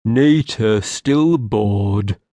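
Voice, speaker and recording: A storyteller voicing a simple-minded, excitable little male creature who speaks in broken, childlike phrases. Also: medium pitch, drawn-out words, dry recording. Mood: eager. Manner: broken childlike speech, talks of himself by name. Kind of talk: audiobook character voice.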